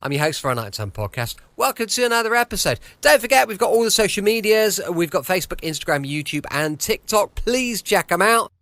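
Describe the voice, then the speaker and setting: A man's voice: high-pitched, very animated, fairly quick, hardly any pauses, sharp tone, no room sound. British guy doing a chatty podcast intro, reassuring, rambly, really dry close sound. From southwest of the United Kingdom. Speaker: podcast host. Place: at home, dry close recording.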